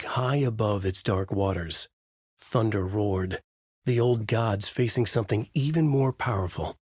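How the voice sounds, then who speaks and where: A man's voice: fairly low pitch, darkish tone, breathy edge, no echo, clean studio sound. A middle-aged man narrating a video game as a brooding writer, in a noir-like inner monologue. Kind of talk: hard-boiled game narration.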